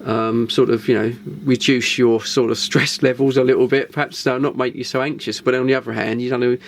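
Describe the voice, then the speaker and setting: A man's voice: mid-range voice, flat delivery, a little fast, vocal fry, slight background noise. An Englishman chatting casually and unscripted to viewers as a video host, rambling and thinking aloud, repeating himself and tagging questions on the end. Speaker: video host and CB radio hobbyist. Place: indoors in a small radio shack.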